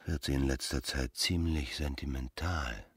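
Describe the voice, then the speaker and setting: A man acting a smug, sensual, cynical lover in a radio drama, with a gravelly, husky voice and an intimate drawl. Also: a bass voice, measured pace, exaggerated voice. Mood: cynical, disdainful.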